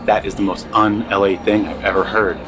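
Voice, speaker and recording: A man's voice: on the low side, little pitch movement, moderate pace, close to an everyday voice, rough recording. A man acting a sleepless, distrustful character in an audio drama trailer. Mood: wry. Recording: music under the voice.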